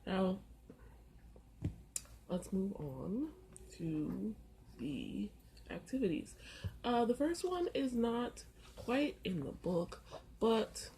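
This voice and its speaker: A woman with a sore throat giving a casual, unhurried walkthrough in her apartment. She pauses often and fills gaps with soft hesitations. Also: medium pitch, gravelly, rough recording. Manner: unhurried, halting, with many filler pauses. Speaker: tarot and oracle card content creator. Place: first-floor apartment on a busy street. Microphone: camera. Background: faint street noise.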